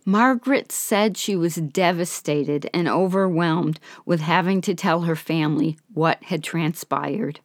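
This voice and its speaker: A woman hosts a podcast and narrates a story calmly and gently, her voice a little hoarse. Her voice sits on the low side, and the recording is close and dry with no room sound. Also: measured pace, hardly any pauses, no echo. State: slightly hoarse, under the weather. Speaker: podcast host.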